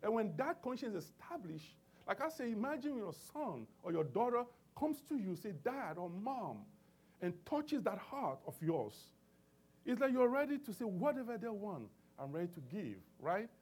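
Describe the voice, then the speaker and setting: A man preaching with a husky, fairly high voice that swings widely in pitch. He presses his points emphatically and keeps asking for amens. He restarts his phrases often as he works through scripture. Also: big pitch swings, moderate pace, darkish tone. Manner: emphatic call-and-response preaching with many restarts. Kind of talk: sermon.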